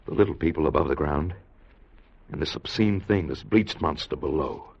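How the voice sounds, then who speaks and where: A grave, fatherly man of science in an old-time radio play. His deep voice is husky and gravelly as he probes and reasons, on a dull vintage recording. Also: moderate pace, exaggerated voice. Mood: grave pondering. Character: grave, questioning male doctor and time traveler, fatherly. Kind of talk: 1950s radio drama acting.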